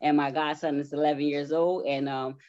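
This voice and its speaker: A woman's voice: low voice, level tone, brisk, darkish tone. A middle-aged woman speaking casually as a guest in an interview. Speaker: interview guest, stage play cast member. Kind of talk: casual self-introduction and chat. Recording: dull lo-fi audio.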